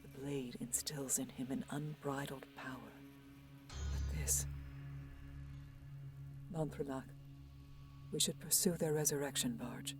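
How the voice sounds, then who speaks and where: An actress playing a wary, prophetic alien seer in a science fiction audio drama, solemn, measured and breathy. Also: female voice, very deep voice, steady tone, music in the background.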